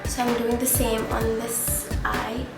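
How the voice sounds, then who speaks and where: A woman's voice: on the high side, level tone, measured pace, vocal fry. A young woman, chatting through a makeup tutorial with plenty of ums and uhs, sounding stuffy from a cold. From India. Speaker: beauty vlogger. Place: home room at a mirror. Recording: echoey room, camera at a distance, loud music behind.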